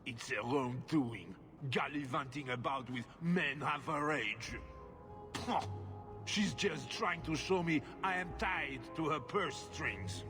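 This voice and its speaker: An actor playing a bitter, self-pitying middle-aged husband, petulant and scornful. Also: male voice, a little high, takes it slowly, long reverb tail, over music.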